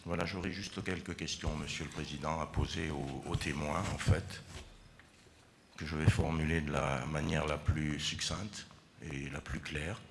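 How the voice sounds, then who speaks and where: Very deep-voiced man questioning in court. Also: fairly even intonation, gravelly, big-room sound, slight background noise. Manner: formal, courteous, measured. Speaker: defense lawyer. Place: large courtroom.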